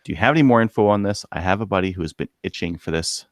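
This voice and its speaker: A man with a low voice hosts a podcast casually. His manner is offhand and dryly humorous, and the recording is tight and free of echo. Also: very fast, clean studio sound. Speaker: podcast host. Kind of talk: casual banter and read-out segments.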